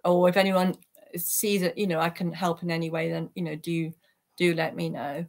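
A woman's voice: fairly low pitch, level tone, normal speed. A woman, speaking earnestly and reflectively as an interview guest on a video call. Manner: earnest, heartfelt. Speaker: interview guest, peace activist. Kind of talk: reflective personal account in an online interview. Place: small attic room at home, indoors. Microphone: computer microphone on a video call.

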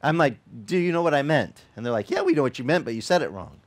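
A middle-aged male pastor whose first language is Italian, preaching outdoors by the sea into a headset mic. His voice sits a little high and swings widely in pitch as he talks. He keeps it casual and playful, slipping in joking asides between his points. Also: very animated, fast talker. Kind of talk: sermon. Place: outdoor beach service.

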